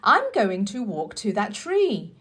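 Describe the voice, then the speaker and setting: A woman reading a children's storybook, voicing a sickly, imperious, excitable boy in an emphatic, commanding manner. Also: mid-range voice, slow pace. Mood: determined.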